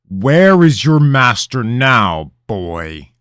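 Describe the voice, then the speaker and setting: A man voicing a menacing, arrogant king who speaks slowly and loudly with threat in his voice. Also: mid-range voice, sing-song, no echo, clean studio sound, voice pushed up, heavily acted. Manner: loud, slow, dangerous commands.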